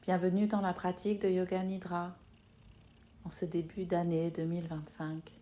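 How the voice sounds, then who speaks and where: A soft-spoken woman guiding a yoga nidra relaxation in a soothing manner, leaving long pauses between phrases. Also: on the low side, flat delivery. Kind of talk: guided meditation.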